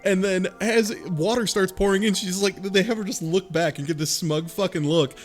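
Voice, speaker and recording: A man in a casual group discussion, excitably retelling a game story with swearing and acted-out dialogue. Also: sits high, moderate pace. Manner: excitable, profane, acts out dialogue. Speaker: podcast panelist. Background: background music.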